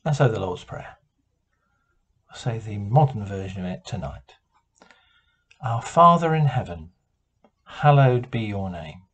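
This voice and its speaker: Slow, husky British man in his sixties. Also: on the low side, lively intonation, fairly bright tone. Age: 60s. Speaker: prayer leader. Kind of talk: quiet devotional talk and prayer. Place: living room at home.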